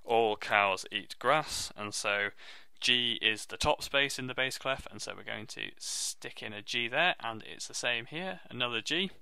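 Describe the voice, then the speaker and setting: A man with a low, deep voice teaching music theory in an online lesson, explaining step by step in a friendly, self-deprecating way. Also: a little slow, creaky, pushed and bright, clean tone, no echo.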